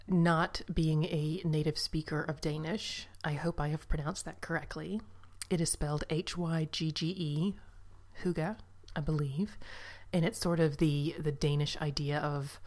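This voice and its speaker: A woman chatting casually about books she has read, rambling with fillers and asides, sometimes dropping to a soft whisper. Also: low voice, steady tone, a little slow. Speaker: book vlogger. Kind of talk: casual book review chat.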